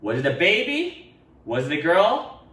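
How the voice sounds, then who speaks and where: An eager, curious storybook schoolkid, played by a man, pipes up in a very high, bouncy voice full of questions. It sounds echoey and slightly off the mic. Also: wildly swooping pitch, a little slow, fairly bright tone, full character voice. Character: excitable, curious young classmates firing questions. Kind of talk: picture-book character voice. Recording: roomy echo, distant microphone.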